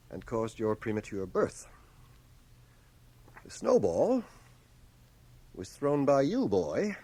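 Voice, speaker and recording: An earnest older man, swooping pitch. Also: darkish tone. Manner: deliberate, literate, earnest. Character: aging bachelor schoolmaster, guilt-ridden, reflective, earnestly moralizing. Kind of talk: radio drama acting. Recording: cassette transfer of 1980 radio drama.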